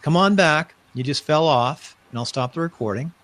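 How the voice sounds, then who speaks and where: A man's voice: fairly high pitch, moderate pace, fairly bright tone, no room sound. A middle-aged man hosting a casual live-stream interview, chatty and rambling, often restarting his sentences. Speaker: host.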